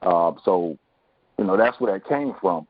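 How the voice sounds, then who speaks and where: A man's voice: low-pitched, lively intonation, measured pace, slightly rough, dry recording. A man giving his opinions reflectively as a guest in a conversational panel discussion. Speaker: podcast guest. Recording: tinny phone-like sound, very dry.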